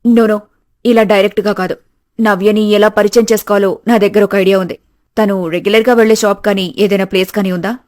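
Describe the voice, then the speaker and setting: A woman voicing a confident, motherly and slightly crafty middle-aged aunt in an animated story. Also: medium pitch, a little fast, no echo, clean studio sound, natural voice. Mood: confident, scheming. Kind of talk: animated moral story dialogue.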